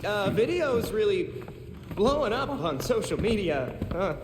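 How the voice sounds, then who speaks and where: An actor playing an awkward young crime-fighter in a video game, casual and hesitant in conversation. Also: male voice, high voice, big pitch swings, measured pace. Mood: bemused, flustered. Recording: echoey hall, clear noise.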